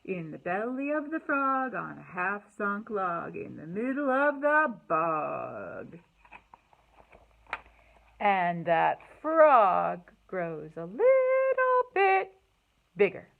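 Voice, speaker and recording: A woman hosting a children's storytime, speaking in an expressive, sing-song way to young listeners, in slightly dull, lo-fi sound. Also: mid-range voice, extremely slow, creaky. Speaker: library storytime host. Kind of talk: children's storytime, read-aloud and singalong.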